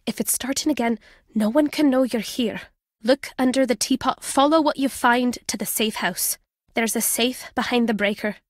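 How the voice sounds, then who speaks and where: A woman plays an older British former spy and journalist in a video game. Her voice sits fairly high, in a dry, close recording. She is wry and guarded, teasing yet fond as she speaks. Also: normal speed, no echo, natural voice. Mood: guarded, serious. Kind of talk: video game character dialogue.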